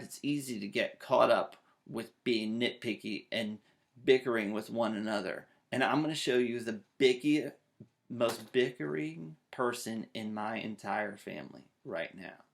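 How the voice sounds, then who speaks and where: A man's voice: medium pitch, a little slow, creaky. A man, reading aloud to children. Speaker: storytime reader. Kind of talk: animated children's read-aloud. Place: small child's bedroom indoors.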